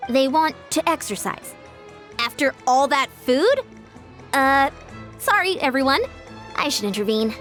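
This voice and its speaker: A young coach for a video game. She is assured and encouraging, with a high, animated voice and faint music underneath. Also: unhurried, pauses often. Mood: concerned.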